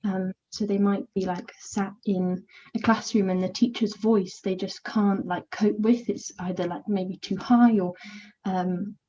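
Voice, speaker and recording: Soft-spoken young woman, soothing voice. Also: medium pitch, fairly even intonation, unhurried, dull audio. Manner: quiet, introverted, hesitant with many fillers. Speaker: volunteer mentor. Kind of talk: casual interview answers.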